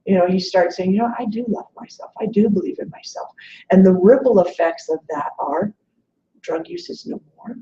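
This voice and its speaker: Creaky-voiced woman coaching, echoey muffled audio. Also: mid-range voice, animated, normal speed, dark tone, slight background noise. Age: late 40s. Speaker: webinar guest speaker, self-esteem coach. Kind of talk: conversational motivational coaching.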